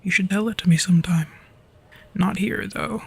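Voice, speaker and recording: Performer of unclear sex as a soft, creaky-voiced dragon storyteller. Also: medium pitch, steady tone, normal speed. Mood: playful, teasing. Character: flirty, easily flustered dragon storyteller. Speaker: storyteller in an audio roleplay. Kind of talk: ASMR roleplay, soft storytelling.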